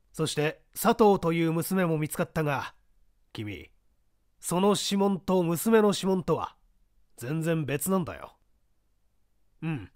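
A man's voice: a little high, no echo, clean studio sound. A man doing a seasoned older investigator. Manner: measured, testing, explanatory.

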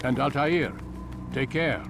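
An actor playing a stern older master of an assassin order in a video game, speaking in a measured, formal, commanding way. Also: male voice, mid-range voice, gravelly. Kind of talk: video game cutscene acting. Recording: loud music under the voice.

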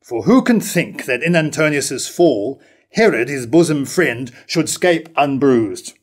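A man's voice: medium pitch, animated, unhurried, husky. An older man playing a proud, defiant nobleman in a staged reading of early verse drama. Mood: defiant, reassuring. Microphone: stand mic at lectern.

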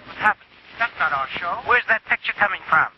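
A man's voice: high-pitched, lively intonation, fairly quick, background noise. An actor playing a flustered, agitated American television studio crewman in a 1950s drama. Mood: alarmed, urgent. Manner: agitated, clipped. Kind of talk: 1950s live TV drama acting. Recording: dull old TV sound, busy voices.

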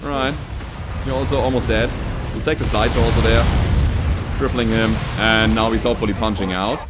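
A man's voice: fairly low pitch, fairly even intonation, moderate pace. A man in his thirties giving casual, running commentary on a video game he is playing. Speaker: gaming video host. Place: indoor home streaming room. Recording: loud game music and gunfire behind. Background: game music, gunfire, explosions.

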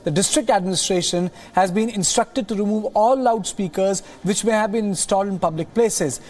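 A man in his thirties anchoring a studio news bulletin, reading the news in a measured way and then questioning a colleague with some hesitant fillers. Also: sits high, darkish tone.

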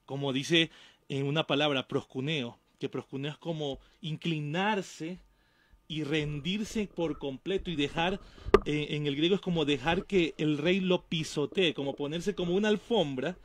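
A young adult man, a guest pastor, explaining calmly at a desk mic in a small studio, trailing off softly at the ends of phrases. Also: a little high, measured pace, a little hiss or hum. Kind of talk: explanatory talk-show conversation. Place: small studio with painted backdrop. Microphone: desk mic on stand.

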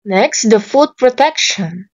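Slow-reading woman teacher, lo-fi voice. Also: fairly high pitch, expressive. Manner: slow, deliberate reading of lists. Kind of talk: reading lesson slides aloud.

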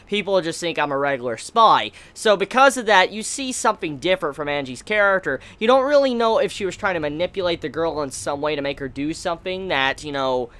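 A man's voice: fairly high pitch, bright, forceful tone. An American man in his twenties giving a casual, rambling review to camera with emphatic stresses. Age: 20s. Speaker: anime reviewer. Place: small indoor room lined with bookshelves.

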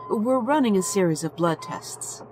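A woman playing a calm, matter-of-fact hospital doctor in an audio drama, with a measured clinical manner. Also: mid-range voice, faint music behind, lightly acted. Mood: puzzled, clinical. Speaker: voice actor.

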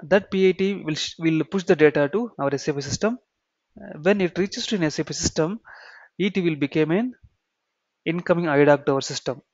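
A man with an Indian accent giving an explanatory software tutorial, repeating key phrases and checking in with "okay" and "right". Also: fairly high pitch, brisk, no room sound. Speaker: software trainer. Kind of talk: explanatory screen-share tutorial. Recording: dull, muffled lo-fi sound.

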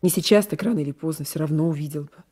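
An actress playing a defiant, lovestruck young peasant wife in a radio play, breathy and fervent, often dropping to a whisper. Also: female voice, low voice, racing, warm tone. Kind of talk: 1980s radio play acting.

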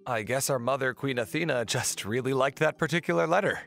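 A man playing a kind, helpful young merman prince, explaining things gently in an audio drama. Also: medium pitch, a little fast, creaky, soft background music. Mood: kind, patient.

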